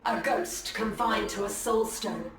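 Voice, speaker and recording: A woman's voice: mid-range voice, measured pace, slight background noise, lightly acted. A woman playing a resolute, wary alien seer in a video game, speaking formally and solemnly. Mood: wary, probing. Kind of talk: dramatic video game cutscene acting. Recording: echoey and distant, music behind.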